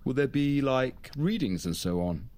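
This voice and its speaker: An actor plays a young boyfriend in an audio drama. He is affable and a little tactless, asking things casually with very animated, varied intonation. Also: mid-range voice, a little slow, lightly acted. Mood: curious, casual. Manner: casual, questioning. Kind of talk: naturalistic audio drama acting.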